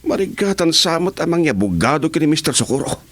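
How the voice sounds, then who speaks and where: Bantering Filipino henchman, radio drama, husky, animated, grumbly. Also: fairly high pitch, fairly quick. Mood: grumbling.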